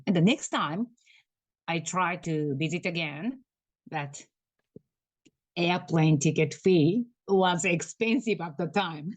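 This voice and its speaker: A Japanese woman in her thirties with a Japanese accent, speaking halting English with soft laughs as a guest on a video call. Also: fairly low pitch, no room sound. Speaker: guest, tour guide. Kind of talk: casual interview over video call.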